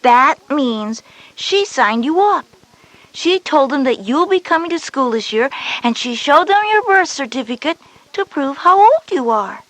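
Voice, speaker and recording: Woman as a high-pitched, knowing big sister. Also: very animated, unhurried, fairly bright tone, no echo, heavily acted, poor audio. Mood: patient, reassuring. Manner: patient, explanatory. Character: knowing, reassuring older sister, a schoolgirl. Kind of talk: scripted educational audio drama.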